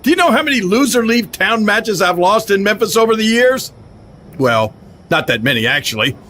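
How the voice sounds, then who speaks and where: High, brash veteran wrestling legend. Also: male voice, very animated, normal speed, bright, forceful tone, slight background noise, exaggerated voice. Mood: incredulous, sly. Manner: cocky, wheeling and dealing. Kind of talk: video game cutscene acting.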